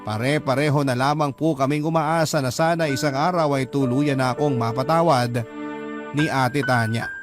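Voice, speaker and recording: A man reading a confessional letter aloud as first-person dramatic radio narration. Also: mid-range voice. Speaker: radio story reader. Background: background music.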